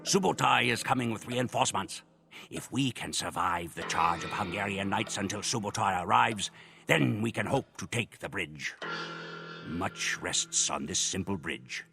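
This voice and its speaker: A man narrating a war campaign in solemn, measured scripted narration for game cutscenes. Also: lowish voice, creaky. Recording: game cutscene audio with loud music.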